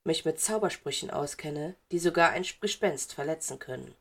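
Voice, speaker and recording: A woman's voice: sits low, lively intonation, normal speed, husky, exaggerated voice. A woman voicing a stern, sarcastic, impatient male wizard teacher in an audiobook, curt and sardonic. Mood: sardonic threat. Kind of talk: audiobook character voice.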